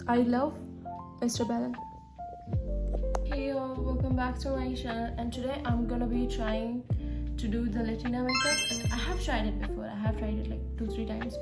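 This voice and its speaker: A woman with a slightly high voice chats casually to her followers in non-native English. She has an accent from speaking it as a second language. The room echoes, and clear guitar music plays behind her. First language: not English. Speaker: makeup vlogger. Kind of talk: casual chatty vlog. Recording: roomy echo with loud music.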